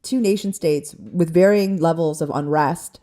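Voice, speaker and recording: A woman's voice: low voice, moderate pace, dark tone. A woman co-hosting a podcast in casual chat, explaining her opinions at length, swearing and laughing easily. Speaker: podcast co-host.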